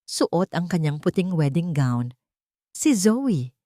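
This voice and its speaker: A woman's voice: fairly low pitch, very animated, slow, warm tone, no echo, clean studio sound. A woman with a Filipino accent narrating a scripted romantic drama story.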